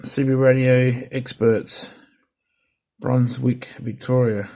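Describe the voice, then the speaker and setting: A man's voice: mid-range voice, little pitch movement, takes it slowly, gravelly, darkish tone. A middle-aged man chatting casually through a radio unboxing and repair, rambling with fillers and restarts. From Australia. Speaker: hobbyist vlogger.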